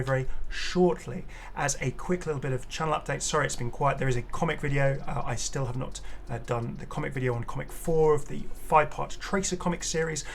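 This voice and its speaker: A man hosting a gaming channel, giving casual, unscripted commentary in a chatty, enthusiastic way and prone to exclaiming. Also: mid-range voice. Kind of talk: casual unscripted reaction commentary on game cosmetics.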